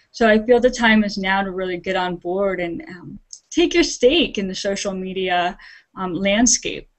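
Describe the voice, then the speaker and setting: A woman's voice: mid-range voice, rough recording. A woman, a social media consultant and author, talking plainly about her guide and encouraging business owners in a casual back-and-forth. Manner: encouraging, practical, uses analogies. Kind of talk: conversational discussion.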